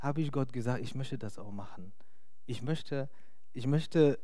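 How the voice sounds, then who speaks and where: A man's voice: medium pitch, measured pace, warm tone, no room sound, studio quality. Chatty non-native German-speaking male preacher. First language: not German. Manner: chatty and hesitant, many fillers, laughs often. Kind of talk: informal sermon.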